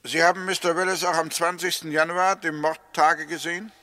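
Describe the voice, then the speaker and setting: A man's voice: a little high, level tone, a little fast, pushed and bright, dry recording. A man acting a stern, sceptical prosecuting lawyer in a 1960s German radio drama, formal and challenging. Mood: probing. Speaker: actor in a radio play.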